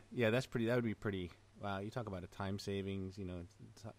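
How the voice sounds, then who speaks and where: A man's voice: lowish voice, quick pace, a little mellow. A man chatting casually as a gaming podcast co-host in an agreeable, easygoing way.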